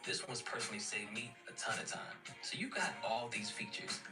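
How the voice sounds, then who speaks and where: Male tutorial ad presenter, slangy and informal, middle pitch, roomy sound with loud music. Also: animated, brisk. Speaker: advertisement presenter. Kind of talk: casual scripted tutorial ad. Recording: roomy, distant playback under loud music.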